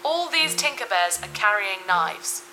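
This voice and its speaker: A woman's voice: mid-range voice, very animated, a little slow, loud music behind the voice, poor audio. A woman voicing a blunt, suspicious artificial intelligence companion in a sci-fi audio drama, terse and snarky. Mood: wary, snarky.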